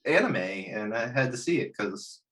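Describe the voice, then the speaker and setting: A man hosting a casual group chat about pop culture, joking and quick to laugh. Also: on the low side, animated, a bit bright. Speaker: host of a gaming chat show.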